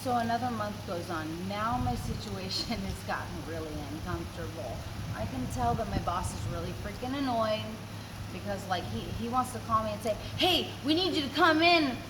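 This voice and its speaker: A woman casually telling a story in an animated way, acting out dialogue, swearing and laughing as she talks. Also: mid-range voice, brisk. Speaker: guest telling a personal story. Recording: noisy car, engine and road noise.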